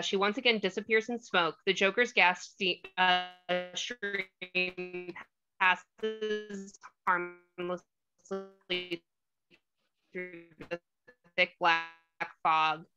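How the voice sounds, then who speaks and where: A woman's voice: fairly low pitch, bright, forceful tone, muffled recording. A woman reading stage directions as scripted narration at a table read.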